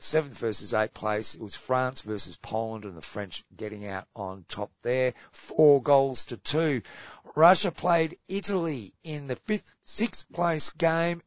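Croaky-voiced man reading sports results. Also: mid-range voice, dry recording, lo-fi sound. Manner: brisk results rundown, trailing off quietly. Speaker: podcast co-host.

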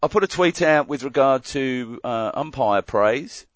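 An Australian man co-hosting a casual podcast chat, ranting in animated mock disgust. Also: mid-range voice, unhurried, no echo, dull audio. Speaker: podcast co-host.

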